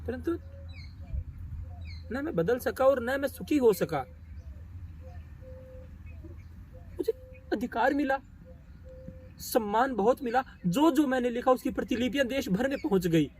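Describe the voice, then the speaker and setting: Male actor as tormented, self-doubting poet in a stage drama; high voice, solemn and emotional, pausing heavily; light birdsong noise. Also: darkish tone, lightly acted. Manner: solemn, halting, emotional delivery with dramatic pauses. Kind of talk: theatrical stage monologue in formal literary Hindi.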